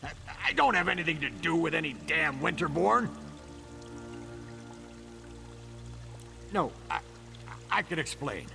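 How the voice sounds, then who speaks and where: Shifty, gravelly orc peddler. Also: male voice, on the high side, animated, brisk, bright, forceful tone, soft background music, heavily acted. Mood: flustered, defensive. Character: shifty male orc tonic peddler, self-righteous and defensive. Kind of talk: video game character acting.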